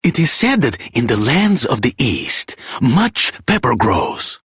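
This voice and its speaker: An actor playing a solemn, grand dignitary in a fantasy video game, very raspy and formally declaiming. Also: male voice, mid-range voice, wildly swooping pitch, slow pace, a bit bright, no room sound, studio quality, full character voice. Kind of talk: video game character acting.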